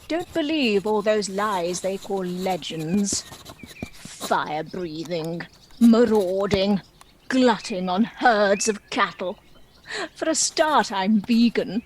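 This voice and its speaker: A woman's voice: mid-range voice, slight background noise. A woman playing a proud, lonely, world-weary dragon in a comic play, with a theatrical, wry and indignant manner. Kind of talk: dramatic monologue in a comic play.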